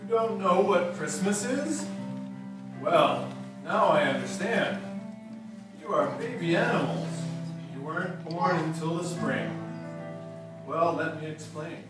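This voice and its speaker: Man as jolly old gift-giver, gentle, fairly high male voice, puppet show, very echoey. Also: lively intonation, takes it slowly, long pauses. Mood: reassuring, kindly. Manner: gentle, reassuring, explaining. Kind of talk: children's puppet show acting. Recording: echoey, distant, music behind.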